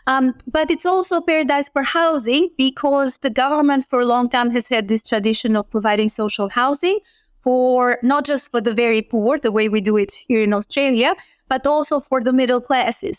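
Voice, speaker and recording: Clear-voiced woman from Albania. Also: on the high side, steady tone, dry recording. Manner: measured, explanatory, with frequent ums. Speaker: urban planning professor, guest. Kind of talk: conversational interview answers.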